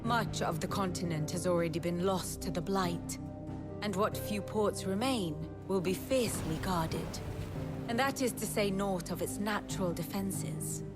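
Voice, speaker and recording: A woman's voice: mid-range voice, a little slow, over loud music, lightly acted. An older woman playing an exacting, wry scholar in a fantasy game, speaking in an eloquent, dry lecturing manner. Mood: measured lecturing.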